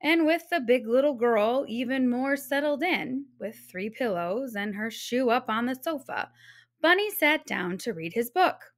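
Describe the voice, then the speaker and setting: A woman reading a children's storybook aloud, narrating in an expressive, deliberate manner. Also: high voice. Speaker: storybook reader.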